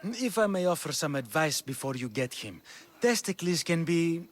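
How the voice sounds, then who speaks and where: A courteous trainer. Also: male voice, on the high side, big pitch swings, normal speed.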